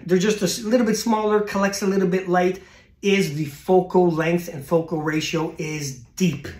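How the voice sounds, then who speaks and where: A man's voice: sits high, fairly even intonation, normal speed, darkish tone. A middle-aged man hosts from an indoor room, talking straight to a desk camera. His delivery is loose and chatty, full of fillers and restarts as he walks viewers through gear choices. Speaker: astronomy channel host. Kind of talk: casual gear advice to camera.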